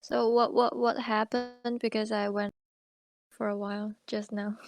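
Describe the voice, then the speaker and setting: A woman's voice: on the high side, little pitch movement, measured pace, music in the background. A woman playing a tabletop roleplaying game, talking in casual chat.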